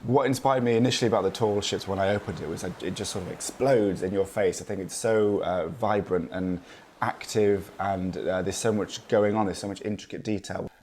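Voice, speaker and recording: A man's voice: sits low, a little fast, a little mellow, a little hiss or hum. A man speaking as a playwright in a promotional interview. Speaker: playwright, screenwriter. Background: faint ambience.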